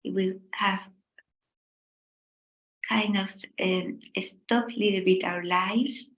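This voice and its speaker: A middle-aged woman from Spain with a Spanish accent, gently leading a meditation talk in short, unhurried phrases with pauses. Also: mid-range voice, fairly even intonation, echoey room. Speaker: meditation teacher.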